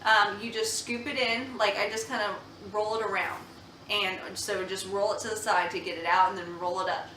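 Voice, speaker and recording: A woman's voice: medium pitch, steady tone, moderate pace, bright, forceful tone, a little hiss or hum. A young woman, a mother, chatting earnestly at home about baby products, with many ums and restarts. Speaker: mom vlogger reviewing baby products. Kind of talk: casual product review chat. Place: home room. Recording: echoey room, slightly far from mic.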